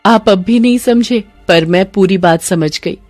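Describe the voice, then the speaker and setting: A woman's voice: medium pitch, unhurried, darkish tone, dry recording, soft background music, lightly acted. A woman voicing a devout, reassuring village wife with earnest delivery in a moral cartoon. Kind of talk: Hindi moral cartoon dialogue.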